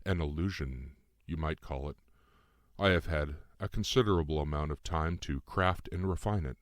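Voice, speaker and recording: Guy playing a calm calculating otherworldly being, deep voice, talks very formal and precise. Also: normal speed, dry recording, studio quality. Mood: explanatory. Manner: formal, precise, composed, didactic. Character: Lucien, calm calculating otherworldly being in young man's form. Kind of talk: audiobook dialogue.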